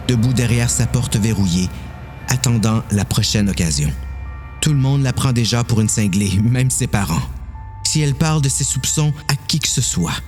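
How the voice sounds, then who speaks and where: A man's voice: medium pitch, darkish tone. A man reading a dramatic story as narrator.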